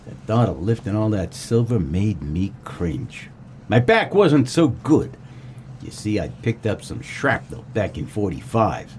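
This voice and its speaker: A man playing a wry, deadpan, world-weary private eye in a comedic noir radio play. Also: on the low side, a little slow, slight background noise. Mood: deadpan narrating. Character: wisecracking world-weary private detective, grown son of a crook.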